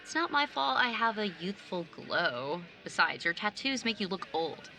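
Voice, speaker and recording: A woman's voice: mid-range voice, very animated, moderate pace, pushed and bright, faint music behind. A young woman playing a sarcastic, blunt nineteen-year-old younger sibling in a naturalistic audio drama. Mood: playful, snarky. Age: young adult. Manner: sardonic, blunt, casual.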